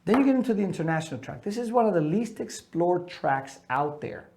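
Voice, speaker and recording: A middle-aged man from Ecuador leads a seminar on leaving the military, his voice sitting a little high for a man. He talks in an earnest, emphatic way, pushing key words loud and then dropping soft. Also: animated, fairly quick. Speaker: military transition coach. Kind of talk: seminar talk.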